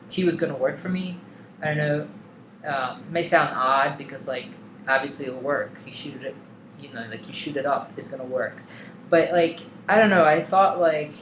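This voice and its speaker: A man's voice: fairly high pitch, fairly bright tone. A young man talking casually and candidly to camera, hesitant with many ums and likes. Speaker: vlogger. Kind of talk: casual personal vlog. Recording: echoey room, faint background hum.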